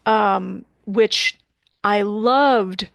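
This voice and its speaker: A woman interviewing in a casual, conversational way, feeling her way through halting, reworded questions. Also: mid-range voice, gravelly, a bit bright, no echo.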